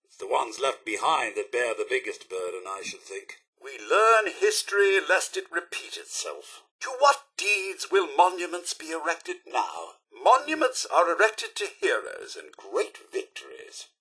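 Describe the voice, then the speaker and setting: A man's voice: a little high, very animated, measured pace, sharp tone, husky, full character voice, low-quality recording. A man playing a polite, fussy robot butler in a video game, with a British accent and genteel, theatrical, wry courtesy. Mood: wistful, sombre. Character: polite, fussy male robot butler, chipper yet wistful. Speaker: robot companion. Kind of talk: video game companion remarks.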